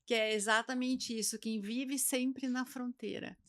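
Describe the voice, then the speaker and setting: A woman's voice: fairly high pitch, steady tone, a little slow. A woman hosting a podcast, chatting casually and encouraging her guest with frequent "uhum"s. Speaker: podcast host. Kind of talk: casual conversation.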